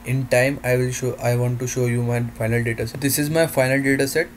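A man narrating a software demo in halting, non-native English phrasing. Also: mid-range voice, little pitch movement, slight background noise.

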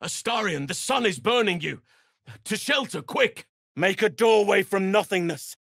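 Forceful, high-pitched fantasy spellcaster. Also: male voice, animated, normal speed, gravelly, no room sound, studio quality, heavily acted. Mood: urgent, alarmed. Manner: theatrical, forceful exclamations. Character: urgent, commanding male fantasy spellcaster in battle. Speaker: video game character voice actor. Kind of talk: fantasy game barks and spell incantations.

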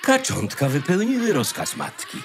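A man's voice: on the high side, huge pitch swings, moderate pace, a little hiss or hum. A man narrating a scripted fairy tale as the narrator of a radio play. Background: music.

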